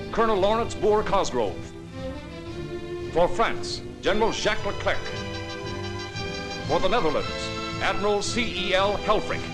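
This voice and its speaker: A man narrating a 1940s newsreel in a crisp, declamatory announcer style. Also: high voice. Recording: 1940s newsreel soundtrack with music. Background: orchestral music.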